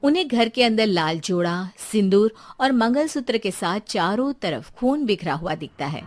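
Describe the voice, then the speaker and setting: A woman's voice: mid-range voice, expressive, moderate pace. A woman narrates a cartoon horror tale from a script. Her voice sits in a middle register as she tells it as a storyteller.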